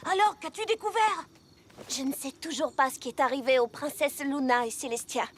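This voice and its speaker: Woman doing a young cartoon pony princess, high voice, earnest n expressive, unsure of herself, faint music behind. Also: moderate pace, close to an everyday voice. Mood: earnest, resolute. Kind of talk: French cartoon dub acting.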